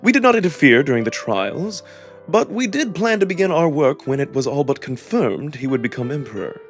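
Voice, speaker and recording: A man voicing a measured, diplomatic jackal nobleman from a visual novel, wary and deliberate in his formal phrasing. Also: fairly high pitch, sing-song, soft background music. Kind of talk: visual novel character reading.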